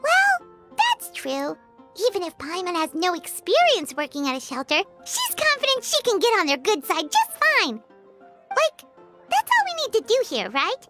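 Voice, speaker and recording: Game sidekick: tiny blunt fairy, very high and bouncy, talks about herself in third person. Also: female voice, huge pitch swings, moderate pace, music in the background, full character voice. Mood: chatty. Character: tiny floating female fairy companion, chatty and blunt. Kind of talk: video game voice acting.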